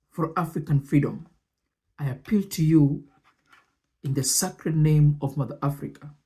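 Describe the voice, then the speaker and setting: Guy reading out speech bits and explaining them, soft mellow tone, real slow and deliberate w/ lots of pauses. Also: on the high side. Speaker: presenter and commentator.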